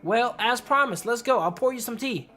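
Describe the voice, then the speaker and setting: A woman's voice: a little high, lively intonation, normal speed, fairly bright tone, faint music behind, voice pushed up. A woman voicing a friendly, lonely old village man in a visual novel, chatty and hospitable. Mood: welcoming. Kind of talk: visual novel character read aloud.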